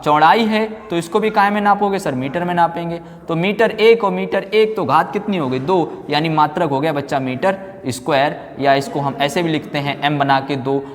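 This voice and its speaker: A man lectures in a slightly high voice that rings with long hall reverb. He teaches step by step, posing questions and answering them himself. Key terms are repeated for emphasis, as in an exam-prep class. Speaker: physics teacher.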